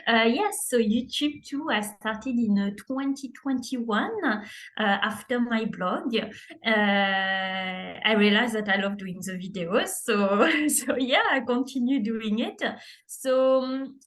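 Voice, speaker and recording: Slow, hesitant woman with a French accent. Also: a little high, fairly bright tone. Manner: hesitant, many fillers, laughs often. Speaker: guest, French tutor. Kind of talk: video call interview.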